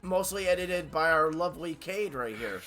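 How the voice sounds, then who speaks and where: A man with a head cold, casually chatting and joking while streaming a game. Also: moderate pace. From Long Island, New York. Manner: joking, lively, trails off low at line ends. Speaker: streamer host. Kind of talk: casual chat while gaming. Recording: game music behind voice call.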